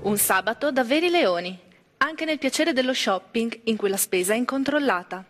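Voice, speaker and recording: A woman's voice: a little high, expressive, moderate pace, a bit bright. A woman reading theatrically like an announcer, declaiming short punchy phrases. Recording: distant voice with long hall reverb.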